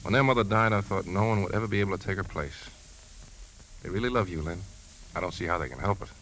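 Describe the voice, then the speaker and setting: Steady kindly uncle, lowish voice, measured, old radio drama with faint noise. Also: male voice. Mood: warm. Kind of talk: 1950s radio drama acting. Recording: noisy 1950s radio broadcast recording.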